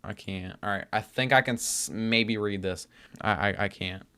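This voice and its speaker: A man's voice: fairly low pitch, moderate pace, sharp tone, smooth. A man hosting a reaction video, talking casually in informal, slangy speech. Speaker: YouTube reaction host.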